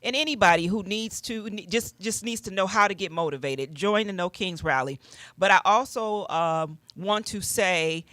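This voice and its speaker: A woman's voice: medium pitch, moderate pace, fairly bright tone, no echo. An American woman in a conversational radio discussion, speaking as a guest with an emphatic, direct manner. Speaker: panel guest, author and doctor.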